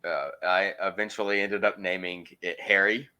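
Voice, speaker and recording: A man's voice: sits low, steady tone, moderate pace, bright, forceful tone, clean tone. A man chatting casually as an interview guest, rambling and searching for words with frequent ums. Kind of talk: casual chat.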